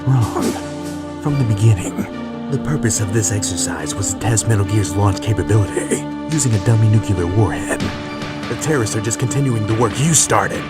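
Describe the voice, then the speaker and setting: A man voicing a stern, battle-hardened soldier with a gravelly growl and terse delivery, as in a video game dub. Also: lowish voice, a little fast, pitched below the performer's own voice, close to an everyday voice. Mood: hard, accusing. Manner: terse, interrogating, deadpan. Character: gruff, stern, battle-hardened male soldier, a lone operative. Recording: loud music underneath. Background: loud music.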